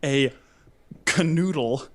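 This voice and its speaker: A man's voice: mid-range voice, takes it slowly, slight background noise. A man co-hosting a podcast in casual comedic banter, talking in a jokey, rambling way. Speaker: podcast co-host.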